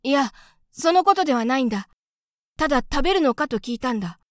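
A woman voicing a brusque young male cellist who talks bluntly and roughly. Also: sits high, expressive, brisk, no room sound, clean studio sound, pitched above the performer's own voice.

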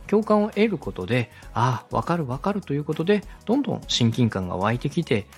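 A man with a lively, swinging intonation hosts an instructional podcast. He explains politely and conversationally, addressing the listener directly, with music playing behind him. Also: medium pitch, brisk, husky. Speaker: podcast host and radio director giving advice. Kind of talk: explanatory podcast teaching with acted example questions. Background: background music, faint.

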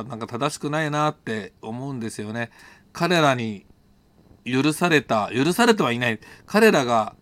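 A middle-aged man from Japan hosts a solo storytelling monologue, reflective and unscripted, pausing to think aloud and correct himself. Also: medium pitch, fairly bright tone. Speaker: host telling personal stories on an audio show.

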